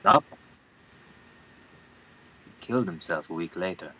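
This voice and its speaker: A man's voice: low-pitched, lively intonation, a little slow, sharp tone, highs cut off, slight background noise, full character voice. A man playing a curt, grave Guatemalan newspaperman in his thirties at a screenplay table read. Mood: grim, haunted.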